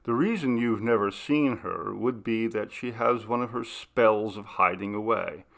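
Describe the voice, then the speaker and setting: A man reading aloud, voicing an amused, courteous young gentleman in a ghost story. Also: on the low side, measured pace, creaky. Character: young gentleman host, Paul, amused and mysterious.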